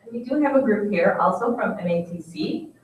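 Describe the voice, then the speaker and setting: A woman's voice: mid-range voice, lively intonation, a little fast, slight background noise. A woman hosting a forum, welcoming people with brief prompts. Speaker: event host. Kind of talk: moderating a forum. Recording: echoey hall, mic from a distance.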